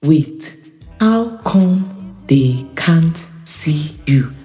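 A scandal-hungry Nigerian woman in an audio drama. Her voice sits low and leaps around in pitch. She drags her words out slowly and dramatically as she demands every detail. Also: animated, mellow, soft background music, exaggerated voice. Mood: impatient, demanding. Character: nosy, excitable gossiping woman, eager for scandal.

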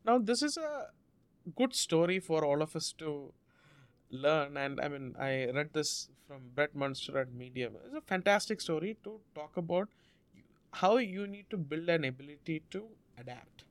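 A man from India, a Malayalam speaker, telling a story as an interview guest in an earnest, measured way. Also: a little high, expressive, clean tone, dry recording. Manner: measured, earnest, drawn-out phrasing with restarts.

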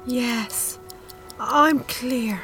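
A woman's voice: fairly high pitch, very slow, a bit bright, faint music behind, exaggerated voice. An actress playing an obliging Irish bingo-hall woman in an audio drama, with a simple, compliant manner. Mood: entranced, obedient.

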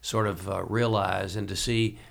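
Male podcast host, lowish gravelly voice, chatty but halting, feels his way through sentences. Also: fairly even intonation. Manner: halting, hesitant phrasing. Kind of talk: casual interview chat.

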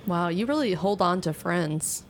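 Low-voiced woman co-hosting a podcast. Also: lively intonation, moderate pace, slight background noise. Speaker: podcast and radio show co-host. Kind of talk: casual chat.

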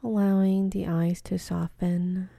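Very slow, mellow woman guiding meditation. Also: fairly low pitch, vocal fry, no room sound. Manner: calm, unhurried, gentle, with long pauses. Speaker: meditation guide. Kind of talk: guided meditation.

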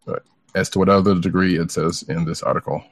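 A man's voice: sits low, steady tone, a little fast, no room sound. A man co-hosting a podcast, chatting casually about entertainment news in a tentative, hedging way. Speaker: podcast co-host. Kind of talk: casual comic book news chat.

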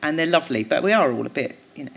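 A woman guest on a live theatre podcast, talking casually in a low voice, witty and self-mocking, laughing often. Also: animated, rapid-fire, gravelly. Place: theatre stage.

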